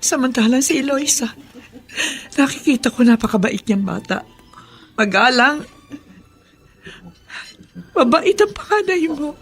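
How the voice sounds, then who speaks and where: An actress playing an earnest, concerned mother in a Filipino radio drama, with a husky voice. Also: sits high, lively intonation, unhurried, pauses often, fairly bright tone, faint music behind, exaggerated voice. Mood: worried, pleading. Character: concerned, earnest mother pleading for help with her daughter.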